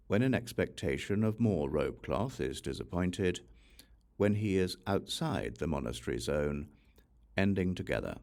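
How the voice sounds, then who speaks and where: Male audiobook reader for scripture: low, creaky voice, varied intonation, deliberate scripted delivery. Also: darkish tone. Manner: measured, deliberate reading. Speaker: audiobook narrator. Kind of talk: scripted narration of a religious text.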